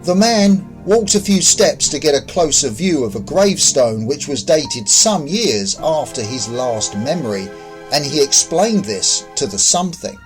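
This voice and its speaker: Man narrating fiction with animated pitch. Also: unhurried, soft background music, poor audio. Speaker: author narrating own story. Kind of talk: scripted story narration.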